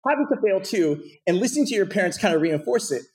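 Fast-talking man telling his career story. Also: sits high. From Portland, Oregon. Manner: rapid, animated storytelling with frequent fillers. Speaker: podcast guest. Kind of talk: casual interview storytelling.

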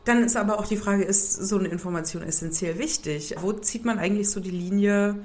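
A woman's voice: medium pitch, darkish tone. A young woman with a cold, hosting a podcast in a casual, musing way. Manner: thoughtful, musing, slow stretches. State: has a cold. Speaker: podcast host.

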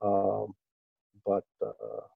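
A middle-aged man from Okinawa, whose first language was Japanese, reminisces as an interview guest. He talks in an easygoing, halting way, full of fillers and small laughs. The sound is dry and a little dull. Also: on the low side, completely flat delivery, creaky, slightly rough, dry recording. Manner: easygoing, hesitant, with frequent fillers and soft laughs. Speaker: interview guest, martial artist. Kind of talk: relaxed reminiscing interview talk.

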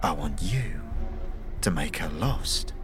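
Menacing slum gang boss, male actor, slow and taunting, very animated street-slang drawl, for audio drama. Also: very deep voice, over music, voice pushed down, full character voice. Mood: sly menace.

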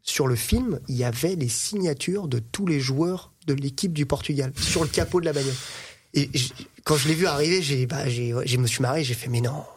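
A man in his thirties, a podcast guest telling animated anecdotes and laughing often. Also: mid-range voice, a little fast, breathy edge. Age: 30s. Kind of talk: casual chat, storytelling.

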